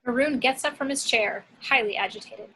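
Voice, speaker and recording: A woman's voice: a little high, a little fast, sharp tone, breathy edge, poor audio. A woman reading screenplay action and scene headings as scripted narration.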